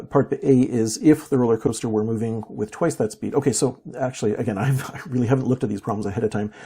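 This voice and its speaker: A man with a gravelly, husky voice talks through physics problems without a script. His tone is dark and mellow, slightly hoarse, and he thinks aloud with fillers and restarts as he goes. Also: mid-range voice. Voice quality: raspy. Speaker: physics teacher. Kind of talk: unscripted worked-problem walkthrough.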